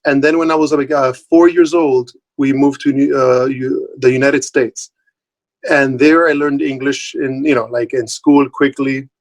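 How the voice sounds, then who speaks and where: A man from Puerto Rico, Spanish his first language, talks informally as a guest on an online call. His voice sits at an ordinary middle pitch. He speaks in a friendly, loose way. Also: little pitch movement, measured pace. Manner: friendly, loose, many fillers and restarts. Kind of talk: informal talk. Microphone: online video call.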